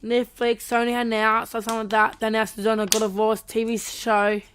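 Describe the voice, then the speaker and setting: A woman's voice: fairly high pitch, monotone, moderate pace, a bit bright, a little hiss or hum. A woman chatting casually as a podcast co-host.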